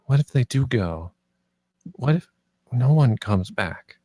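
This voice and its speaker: A wary young leader of orphaned friends , read by a man. The voice is mellow and middle-pitched, with a questioning, conversational delivery suited to a film script read-through. The sound is close and dry. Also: lively intonation, slow pace, no echo. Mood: worried, challenging. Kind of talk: table read of a screenplay.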